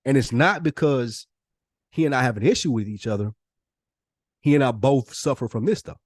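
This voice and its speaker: A man's voice: medium pitch, moderate pace, no room sound, studio quality. An American man co-hosting a podcast, talking candidly and reflectively about himself in casual conversation. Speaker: podcast co-host.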